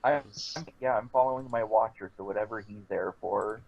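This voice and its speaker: A man's voice: lowish voice, fairly quick, creaky, a little mellow, highs cut off. A man talking casually as a player in a tabletop roleplay game.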